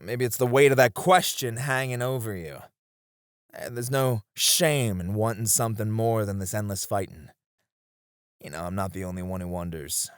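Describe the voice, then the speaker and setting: A man's voice: on the low side, moderate pace, clean tone, no echo, clean studio sound, close to an everyday voice. A man playing a seasoned knight, a wistful, teasing sparring comrade in a romantic roleplay, with reflective, hesitant phrasing. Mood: pensive. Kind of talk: romantic ASMR roleplay acting.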